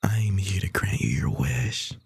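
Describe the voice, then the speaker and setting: Man playing a breathy, smug yandere kidnapper. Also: low-pitched, lively intonation, measured pace, no echo, full character voice. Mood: teasing menace. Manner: breathy half-whispered teasing. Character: obsessive playful male yandere kidnapper, smug and doting. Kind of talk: ASMR roleplay.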